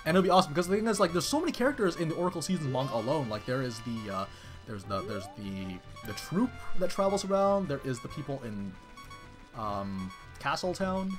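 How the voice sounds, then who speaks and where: A man chatting casually to viewers on a live game stream, excitable and rambling, with many restarts. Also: mid-range voice, a little fast, music in the background. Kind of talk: casual live-stream chat while playing.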